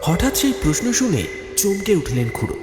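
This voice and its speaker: A man's voice: high voice, sing-song, normal speed. A man narrating a horror cartoon story in a dramatic, scripted storytelling style. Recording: echoey studio reverb, music behind. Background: music.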